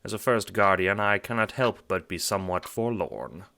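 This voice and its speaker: A man's voice: deep voice, expressive, normal speed, gravelly, sharp tone, exaggerated voice. A man playing a genteel, smug, theatrical guardian villain in an audio drama, speaking in a pompous, wordy and affectedly courteous way. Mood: wistful, forlorn. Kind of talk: audio drama monologue addressed to the listener.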